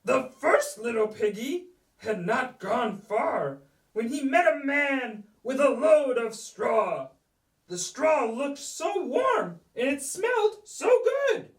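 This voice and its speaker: A woman's voice: sits high, very animated, slow, reverberant, distant mic, low-quality recording. A woman telling a children's story in a dramatic, playful way, drawing out key words.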